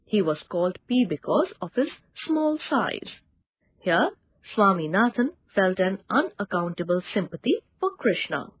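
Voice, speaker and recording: A woman's voice: mid-range voice, big pitch swings, unhurried, slightly rough. A woman narrating a children's story as a scripted storyteller. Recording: band-limited, tinny, lo-fi audio.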